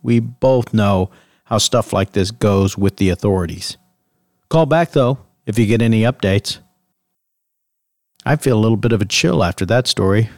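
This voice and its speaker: An actor playing an earnest host of a late-night paranormal call-in radio show, measured and conspiratorial in manner. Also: male voice, lowish voice, no room sound, clean studio sound, lightly acted. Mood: hushed, conspiratorial. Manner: measured, conspiratorial, theatrical sign-off.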